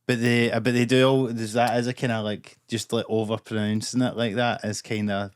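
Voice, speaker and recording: A man's voice: medium pitch, flat delivery, fairly quick, clear, resonant tone, no echo, studio quality. A young Scottish man with a Glaswegian accent, co-hosting a podcast in loose, chatty talk with swearing and laughter. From Scotland. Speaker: podcast co-host. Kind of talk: casual chat.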